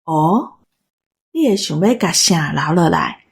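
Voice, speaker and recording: A woman's voice: deep voice, expressive, takes it slowly, vocal fry, slightly rough. A woman with a Taiwanese accent talking in a reflective conversation.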